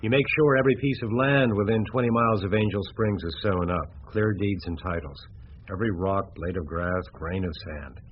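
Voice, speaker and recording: A man plays a cool younger schemer in a radio play. His voice is fairly low and assured, giving clipped instructions with total confidence. The broadcast sound is a little dull, with faint noise. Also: fairly quick, lightly acted. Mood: cool, commanding. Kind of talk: radio drama dialogue.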